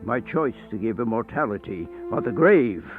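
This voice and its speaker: Male actor as old ailing vampire, world-weary, theatrical, very animated high pitch, radio horror drama, thin narrow-band sound. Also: close to an everyday voice. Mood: brooding, menacing. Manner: theatrical, declamatory, drawn-out phrasing. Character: aged, sickly first male vampire, bitter, grandiose, world-weary. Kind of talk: radio horror play acting. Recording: thin phone-like band-limited audio with music.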